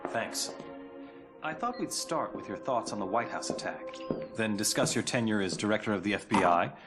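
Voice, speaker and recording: An actor playing a skeptical, businesslike journalist, dry and casual, in a video game cutscene. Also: male voice, on the low side, animated, moderate pace, close to an everyday voice. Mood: dry, reserved. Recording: music behind the voice.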